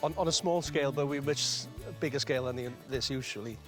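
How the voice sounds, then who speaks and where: A man's voice: medium pitch, quick pace, music in the background. A man, a cider maker from North Wales, explaining his orchard in a plain, modest way outdoors. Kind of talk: casual on-location interview.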